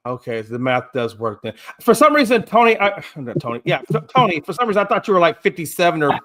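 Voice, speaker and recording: A man in his fifties with a high, bright, forceful voice, talking sports on a casual show. He teases his co-hosts and rambles, circling back with repeated words. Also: sing-song, fast. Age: 50s. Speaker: co-host.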